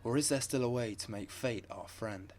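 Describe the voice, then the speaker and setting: A man playing a troubled, earnest mortal who questions Death in measured, solemn rhyme. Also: medium pitch, over music, close to an everyday voice. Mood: troubled, searching. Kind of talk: rhymed poetic monologue.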